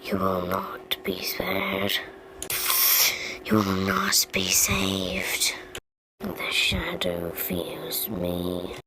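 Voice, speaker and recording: A woman voicing a cruel demonic monster from a horror game, whispery, breathy and ominous. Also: fairly low pitch, big pitch swings, noisy background, full character voice. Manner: ominous, deliberate. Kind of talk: fan recreation of horror game voice lines.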